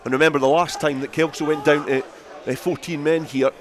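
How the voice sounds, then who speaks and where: A man's voice: on the high side, flat delivery, brisk, slight background noise. A man giving live radio play-by-play rugby commentary from an outdoor ground.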